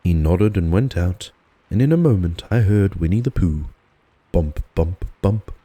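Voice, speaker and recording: A man narrating a storybook as a relaxed audiobook, with gentle, measured, dramatised delivery. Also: sits low, breathy edge, no room sound, faint music behind.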